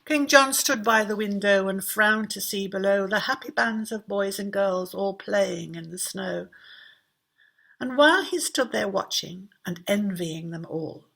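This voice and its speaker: A woman with an English accent reads verse aloud like a storybook. She takes it slowly, with dramatic, measured phrasing. Her mid-range voice has a soft husk to it. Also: medium pitch, vocal fry. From England. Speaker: poetry reader. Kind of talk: expressive storybook verse recitation.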